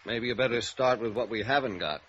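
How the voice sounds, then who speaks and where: An actor playing a businesslike, dry-witted police sergeant in a vintage radio drama, terse and matter-of-fact. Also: male voice, medium pitch, a little fast, fairly bright tone, highs cut off, a little hiss or hum, lightly acted. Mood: businesslike, pondering. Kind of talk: 1950s radio drama acting.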